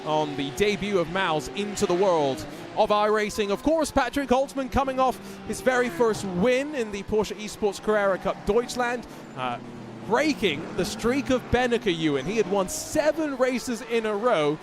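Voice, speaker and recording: High-voiced male race commentator. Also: expressive, normal speed. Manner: chatty, rambling with fillers. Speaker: sim racing commentator.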